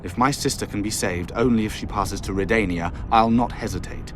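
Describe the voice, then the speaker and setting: A man's voice: fairly low pitch, fairly quick, background noise, natural voice. An actor playing a proud, bitter nobleman of royal blood in a video game, formal and sardonic in manner. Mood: grave, haughty. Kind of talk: video game cutscene dialogue.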